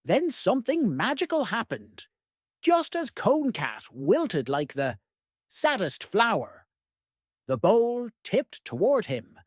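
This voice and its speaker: An expressive storyteller reads a picture book for young listeners. The delivery swoops up and down at a measured, slow pace. The sound is close and dry. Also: medium pitch, no echo. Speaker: read-aloud host. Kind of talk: expressive children's storybook read-aloud.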